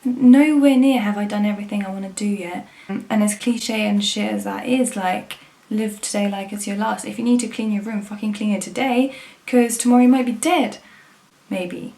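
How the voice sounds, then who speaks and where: A woman's voice: medium pitch, steady tone, moderate pace, vocal fry, warm tone. A woman, talking frankly and casually to camera, swearing now and then. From England. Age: 20s. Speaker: vlogger.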